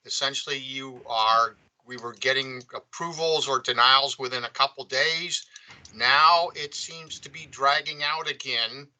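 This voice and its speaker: A man's voice: mid-range voice, unhurried, pushed and bright, rough recording. A man who heads a defenders' association, raising questions semi-formally on a conference call. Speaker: public defender association head asking questions.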